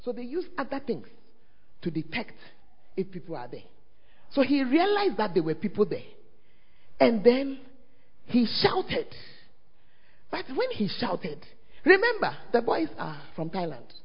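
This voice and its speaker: A Ghanaian man preaches in a very high, lively voice, throwing questions out to his congregation and swooping up and down in pitch. The sound is dull and a little muffled. A long echo from the church hall hangs behind every phrase. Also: a little slow, long pauses, breathy edge. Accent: Ghanaian English. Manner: call-and-response, often asks the crowd "are you there?". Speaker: pastor. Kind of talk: animated preaching sermon.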